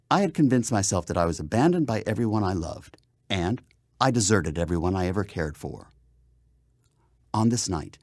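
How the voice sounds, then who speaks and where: A man's voice: low voice, a little mellow, no room sound. A man reading his own memoir aloud as a dramatic first-person narration, with theatrical, emphatic phrasing, close on a studio microphone. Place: voiceover studio.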